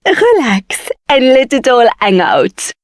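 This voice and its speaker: High, slow, flirty French showgirl. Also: sing-song, no room sound, studio quality. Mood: flirtatious, teasing. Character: flirtatious, giggly French showgirl offering massages. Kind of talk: video game character acting.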